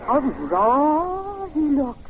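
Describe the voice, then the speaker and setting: An actress playing a venomous, simpering elderly ghost woman with theatrical, drawn-out delivery in an old-time radio drama. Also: sits high, very animated, noisy background, full character voice. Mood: spiteful, eerie. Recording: dull old radio recording.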